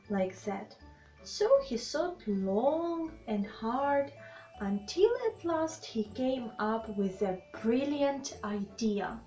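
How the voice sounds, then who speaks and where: Lady reading a kids picture book super slowly, bit muffled recording, music behind. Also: a little high. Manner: slow, deliberate, animated phrasing with questions to the child listeners. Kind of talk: expressive read-aloud bedtime story with asides to young listeners. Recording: slightly dull, lo-fi sound with music.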